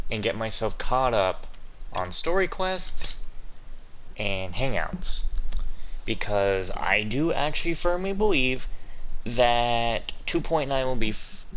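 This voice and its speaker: A man's voice: on the low side, very animated, unhurried, fairly bright tone, a little hiss or hum, poor audio. A man giving casual gameplay commentary as a gaming streamer, slangy and exclamatory, given to swearing.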